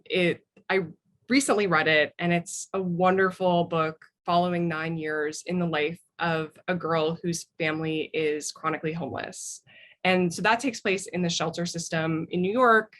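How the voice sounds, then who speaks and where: Woman author guest on a podcast, voice a bit low, thoughtful answers about books. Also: a bit bright.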